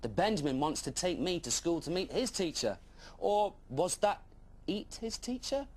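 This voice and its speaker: Man playing an eager young dragon, animated storybook voice. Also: high-pitched, normal speed, voice pushed up. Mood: excited. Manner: animated, childlike wonder. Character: eager, wide-eyed young boy dragon telling his adventure. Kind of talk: children's storybook narration.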